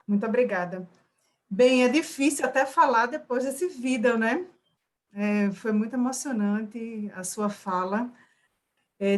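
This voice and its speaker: A woman moderates an online lecture, speaking conversationally with thoughtful pauses over a video call. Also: mid-range voice.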